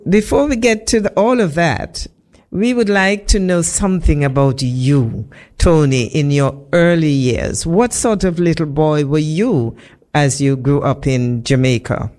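A woman's voice: deep voice, a little slow, darkish tone. A woman interviewing a guest, steering the talk back to his early years. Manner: guiding, steering the conversation. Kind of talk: interview questions.

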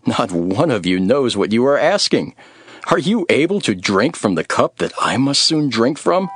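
A man playing a calm, authoritative religious teacher in a solemn, declarative way, in a dramatized scripture recording. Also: medium pitch, normal speed, slightly rough, no echo, clean studio sound. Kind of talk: dramatized Bible audio.

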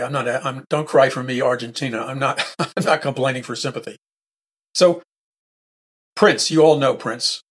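A husky-voiced man hosts his own talk show, his throat hoarse and roughened. He preaches emphatically, circling back to repeat each point for the listener. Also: medium pitch, fairly bright tone. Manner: emphatic and repetitive, restating points. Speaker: radio show host. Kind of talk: preaching talk-radio monologue.

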